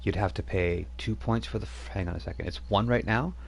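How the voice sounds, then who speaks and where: Low gravelly guy running a tabletop game, laid back, explains the rules bit by bit. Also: fairly quick, a little hiss or hum. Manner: relaxed, explains rules step by step. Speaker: game master.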